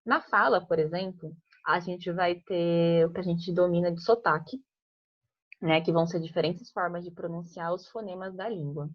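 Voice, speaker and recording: A woman's voice: on the low side, steady tone, moderate pace. A young woman from Piracicaba in Brazil, teaching a casual grammar class and often checking in with "né?". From Piracicaba, São Paulo state, Brazil. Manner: conversational, frequent "né?" tags and restarts. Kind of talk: informal online grammar class. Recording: muffled lo-fi audio.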